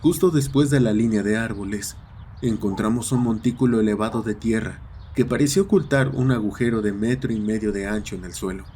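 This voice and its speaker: A man's voice: fairly low pitch, a little fast, warm tone. Male horror narrator over ambient noise. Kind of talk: scripted horror story narration. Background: ambient sound effects.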